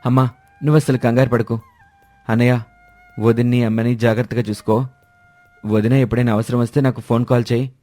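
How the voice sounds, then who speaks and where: A man voicing an earnest young son, pleading yet firm, in an animated moral story. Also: mid-range voice, a little fast, pauses often, faint music behind, close to an everyday voice. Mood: reassuring. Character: earnest young son standing by his love.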